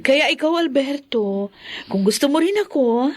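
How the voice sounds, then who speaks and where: A woman's voice: sits high, expressive, normal speed. A woman playing a bold, frank, flirtatious seductress in a Filipino radio drama. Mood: seductive.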